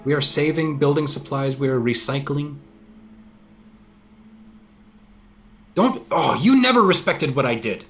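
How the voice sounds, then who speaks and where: Man acting a deadpan, defensive boyfriend over music. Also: a little high, moderate pace. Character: defensive, self-important man breaking up with his girlfriend. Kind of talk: improvised comedy scene.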